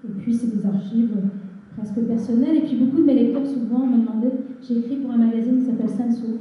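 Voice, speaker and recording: A woman's voice: fairly high pitch, fairly even intonation, fast, highs cut off. Roomy, distant-sounding woman novelist. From Algeria. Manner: reflective, hesitant with many fillers. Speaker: novelist guest. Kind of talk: literary interview on stage. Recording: echoey hall from far off.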